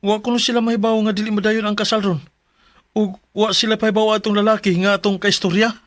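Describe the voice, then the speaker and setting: High-voiced bewildered Filipino groom-to-be. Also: male voice, level tone, quick pace, a bit bright, no echo, studio quality. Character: bewildered young groom-to-be, trying to fix things. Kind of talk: Filipino radio drama acting.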